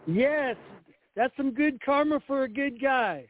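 Very high-voiced man, tinny line. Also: animated, a little slow. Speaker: co-host. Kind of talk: casual chat. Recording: dry tinny phone line.

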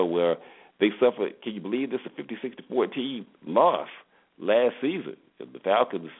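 Male sports radio host, lowish pitch, conversational previews and commentary, thin phone-grade sound. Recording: muffled, phone-like narrow-band radio audio.

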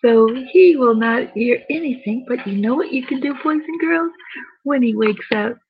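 A woman's voice: fairly high pitch, lively intonation, normal speed, slightly rough. A woman hosting a children's bedtime story time, expressively narrating and speaking directly to young listeners. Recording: dull lo-fi sound with music.

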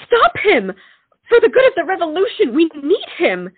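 A woman's voice: extremely high pitch, huge pitch swings, moderate pace, phone-quality sound, heavily acted. A woman playing a proud, dramatic young lady in love, indignant and melodramatic, in a period stage comedy. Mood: urgent.

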